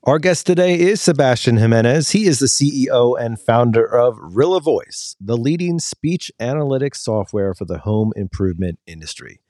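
Podcast co-host: man, mid pitch, dry studio sound, wry humor. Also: animated, moderate pace, hardly any pauses, a little mellow, dry recording. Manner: dry joking, scripted-sounding intro. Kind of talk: casual podcast hosting, reads guest intro.

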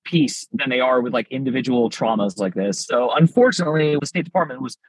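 A man's voice: medium pitch, brisk, husky, dry recording, rough recording. A man appearing as a podcast guest, talking candidly in a rambling way, with frequent "like" fillers. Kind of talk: casual podcast interview.

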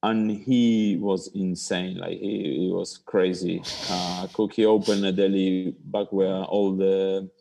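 Argentine chef guy, deep mellow voice, easygoing storytelling, slightly non-native english. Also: little pitch movement, slow pace, gravelly, few pauses. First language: Spanish. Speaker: chef, guest. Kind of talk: casual interview.

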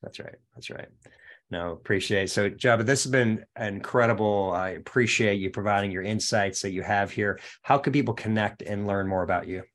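A man's voice: on the low side, brisk. A man hosting a podcast, politely affirming and thanking his guest. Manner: affirming, courteous. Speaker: podcast host. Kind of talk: interview wrap-up.

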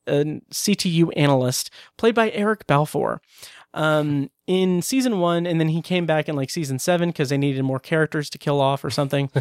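A man's voice: fairly high pitch, no room sound. A man hosting a podcast in casual chat, talking loosely with fillers and laughs, recorded close on a dry mic. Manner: chatty, laughing, full of fillers. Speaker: podcast host.

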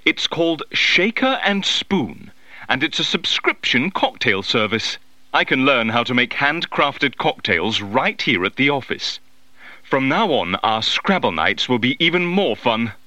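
An actor playing an excitable, theatrical man leaving a playful message for a friend in a scripted audio advert. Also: mid-range voice, measured pace, no room sound. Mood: gleeful, excited. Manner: theatrical, enthusiastic.

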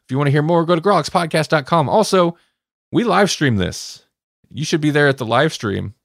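A man's voice: fairly high pitch, expressive, brisk, gravelly, no room sound, clean studio sound. A man hosting a podcast, chatting casually as he reviews stories. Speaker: podcast host.

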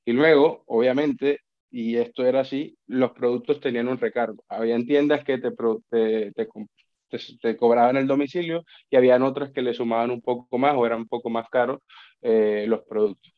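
A man's voice: mid-range voice, fairly even intonation, moderate pace, no room sound. Colombian man, dull dry sound. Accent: Colombian Spanish. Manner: reflective, many restarts and repeated words. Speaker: guest, account manager. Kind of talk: casual podcast conversation.

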